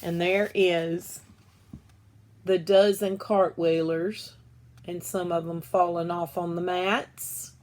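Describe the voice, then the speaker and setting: A woman reading a children's novel aloud as an expressive storyteller who acts out the dialogue. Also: on the low side, slow, slight background noise.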